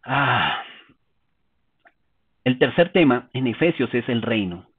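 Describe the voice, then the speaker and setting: A man preaching a teaching sermon, explaining Bible passages in a calm way and asking rhetorical questions. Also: medium pitch, slow, slightly rough. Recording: tinny phone-like sound.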